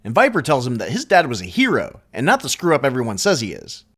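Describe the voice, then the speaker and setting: A man recapping a film for laughs, his pitch bouncing all over the place. He drops dry, mocking asides as he tells it. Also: huge pitch swings, quick pace, a bit bright, soft background music. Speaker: comedy recap narrator. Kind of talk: sarcastic comedic film recap narration.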